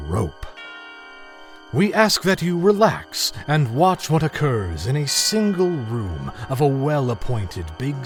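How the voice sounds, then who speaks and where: A man announcing the opening of a radio drama in a measured, ominous old-time style. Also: medium pitch.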